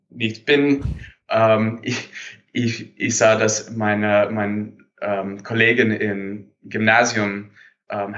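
A man's voice: fairly low pitch, fairly bright tone. A man from Canada in his thirties, a native English speaker with a Canadian accent, answering interview questions hesitantly while searching for words. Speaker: guest entrepreneur. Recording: dull lo-fi sound.

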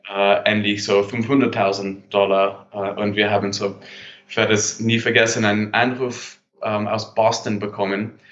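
A man's voice: lowish voice, fairly bright tone. A man from Canada in his thirties, a native English speaker with a Canadian accent, answering interview questions hesitantly while searching for words. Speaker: guest entrepreneur. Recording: dull lo-fi sound.

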